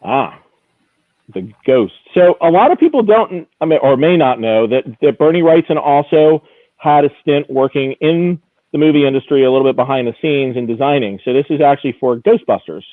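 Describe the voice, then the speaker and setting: A man's voice: medium pitch, lively intonation, normal speed, no echo. Male auction presenter on a phone-like line. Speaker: auction house executive presenting lots. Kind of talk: informal live auction preview commentary.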